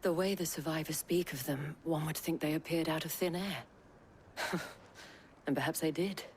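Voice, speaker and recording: Poised, low-voiced noble lady, acted by a woman. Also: a little hiss or hum, lightly acted. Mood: wry, poised. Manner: refined, measured, flirtatious wit. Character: poised noble lady of a town, wry and grateful. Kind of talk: video game dramatic acting.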